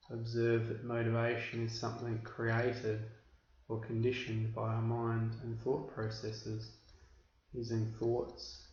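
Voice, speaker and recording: Flat-toned man guiding meditation, roomy recording. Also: medium pitch. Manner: measured, instructional, with deliberate pauses. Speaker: meditation teacher. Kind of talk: guided meditation instruction. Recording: roomy, dull recording with background hiss.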